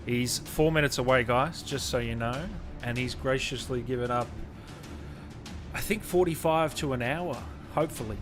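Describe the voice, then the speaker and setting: A man's voice: mid-range voice, moderate pace, over music. A man in his late twenties hosting a gaming livestream, chatting informally with viewers and guests. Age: late 20s. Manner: informal, blokey, addresses viewers as guys. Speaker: host of a gaming livestream show. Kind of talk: casual livestream chat and interview.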